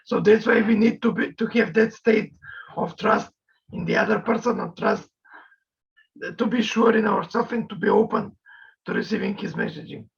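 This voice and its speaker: A male coach speaking as an in a slow, halting way, searching for his words. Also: high-pitched, gravelly. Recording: dull, lo-fi sound.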